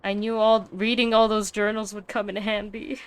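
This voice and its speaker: A woman's voice: medium pitch, level tone, moderate pace, clear, resonant tone. A woman streamer giving casual gameplay commentary, thinking aloud as she plays. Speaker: gaming streamer. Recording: loud game music behind.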